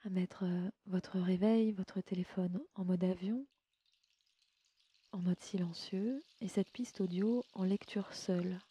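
Soft, mellow woman guiding sleep meditation. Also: lowish voice, fairly even intonation, no room sound. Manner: soothing, gentle, unhurried, often breathy near-whisper. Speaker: yoga nidra guide and podcast host. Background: music.

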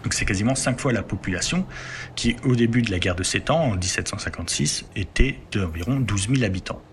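A man telling history in a conversational podcast, explaining at length and filling pauses as he builds his sentences. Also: lowish voice, a little hiss or hum. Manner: explanatory, leans on filler words while building sentences.

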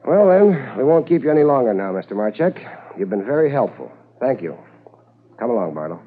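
An actor playing a shrewd, confident police corporal with a firm, matter-of-fact manner in a 1950s radio drama. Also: male voice, mid-range voice, big pitch swings, fairly quick, close to an everyday voice. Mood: probing, controlled. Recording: muffled, hissy 1950s radio transcription.

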